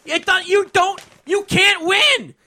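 A man's voice: very high voice, slow pace, crowd noise. An American man on a casual sports talk show, ranting in an exasperated, emphatic way and repeating himself. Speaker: podcast host.

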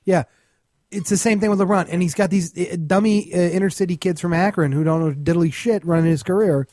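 A man's voice: high-pitched, fairly quick, darkish tone, no echo. A man chatting casually in blunt, scornful, emphatic rants full of swearing, as a guest commentator on a comedy podcast. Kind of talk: profane comedic ranting, casual chat.

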